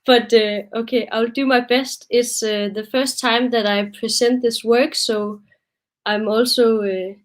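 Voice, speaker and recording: A woman's voice: on the high side, fairly even intonation, unhurried. A young Danish woman presenting her photo project on a video call, hesitant and a bit nervous, with many fillers. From Denmark. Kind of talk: webinar presentation.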